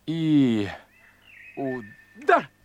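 An actor playing an eager, flustered young golf fanatic in a radio play, hesitant and muttering to himself. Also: male voice, on the high side, wildly swooping pitch, drawn-out words, muffled recording, exaggerated voice. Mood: self-coaching, eager. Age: young adult. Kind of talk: Russian radio play acting.

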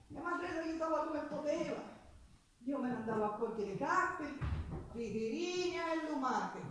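A woman's voice: a little high, slight background noise. Echoey Sicilian working woman, telling her life. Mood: plaintive, weary. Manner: earnest, colloquial storytelling with dialect words and pauses. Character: poor Sicilian working woman recounting her hard life, passionate, plain-spoken. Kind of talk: theatrical monologue. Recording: echoey stage recording from far off.

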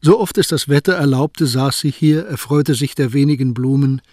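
A man narrating a historical radio drama in a measured, sober way. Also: mid-range voice, fairly even intonation, a little mellow, dry recording. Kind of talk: scripted narration. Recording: dry close recording with music.

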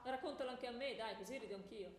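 Curious Olympian played by an actress, high theatrical voice, comic radio theatre, echoey with a little background noise. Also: female voice, fast talker, natural voice. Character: Olympian deity, grand and curious, chatting with fellow gods. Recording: echoey stage with faint noise.

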